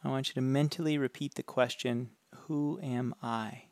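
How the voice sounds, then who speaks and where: A man leading a guided meditation with calm, gentle, unhurried instructions. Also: medium pitch, no echo.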